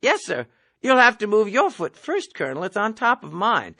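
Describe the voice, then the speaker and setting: A man's voice: high-pitched, wildly swooping pitch, normal speed, pushed and bright, pitched above the performer's own voice, full character voice. A man reading an audiobook, voicing a meek, bumbling army major who answers nervously and deferentially. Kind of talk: audiobook character voice.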